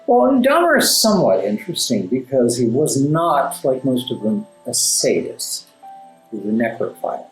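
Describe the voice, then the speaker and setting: A man speaking as an interview guest, explaining and telling stories in a thoughtful, halting way with emphatic asides. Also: mid-range voice, sing-song. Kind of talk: conversational storytelling and explaining in an interview. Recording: roomy echo, music underneath. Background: classical background music.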